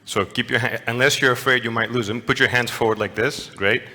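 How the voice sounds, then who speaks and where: A man's voice: mid-range voice, fairly even intonation, fairly quick, pushed and bright. A man giving a playful, interactive lecture to an audience, often asking them questions. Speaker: conference lecturer. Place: large lecture hall. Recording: long hall reverb.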